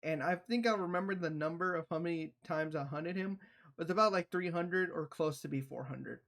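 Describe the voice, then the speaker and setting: A man with a fairly high-pitched voice gives casual livestream commentary. He talks in loose slang with frequent swearing. He tells things like an animated storyteller. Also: fairly quick. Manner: profane, slangy. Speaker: livestreamer reacting to a video.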